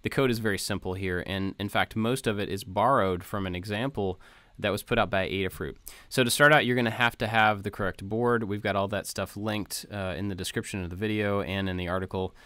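A man's voice: lowish voice, moderate pace, hardly any pauses, a bit bright, no echo. A man presenting a casual walkthrough in an informal, explanatory way, going step by step as a voice-over. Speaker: tutorial presenter. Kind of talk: casual screen-share code walkthrough.

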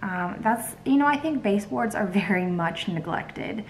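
A woman's voice: mid-range voice, lively intonation, normal speed, a little hiss or hum, poor audio. Tired young woman vlogging from her kitchen. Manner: chatty and rambling, many ums and likes. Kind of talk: casual vlog chat. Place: home kitchen, indoors. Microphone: phone held close.

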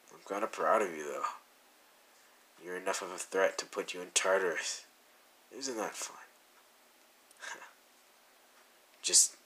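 A man voicing a possessive young male villain in a fan audio roleplay, speaking softly and drawling, both teasing and worried. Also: deep voice, slightly rough, exaggerated voice.

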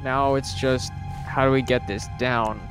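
Man acting hapless novice lumberjack, male, hesitant, defensive, repeated words, medium pitch, game roleplay, loud music. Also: steady tone, unhurried, lightly acted. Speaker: roleplay gamer. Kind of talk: improvised in-character video game roleplay. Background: game sounds and music.

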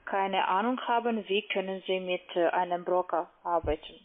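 Russian-accented woman on a tinny phone line. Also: on the low side. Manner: halting, non-native German phrasing. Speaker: call-centre broker, phone scammer. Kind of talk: unscripted phone argument. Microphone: phone call.

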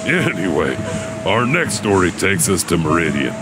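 A man playing a mysterious janitor who hosts a horror anthology, telling ghost stories with brooding, halting pauses. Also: deep voice, big pitch swings. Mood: hesitant. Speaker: host and storyteller of a horror anthology. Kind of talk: scripted ghost-story narration. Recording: music under the voice.

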